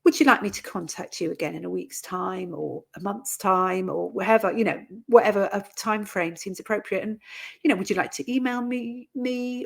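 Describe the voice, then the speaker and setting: A woman giving an informal instructional talk as a coach, explaining conversationally with soft qualifiers and example phrases. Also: mid-range voice, creaky. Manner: conversational, explanatory, hedging with "sort of" and "you know". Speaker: coach and trainer for therapists.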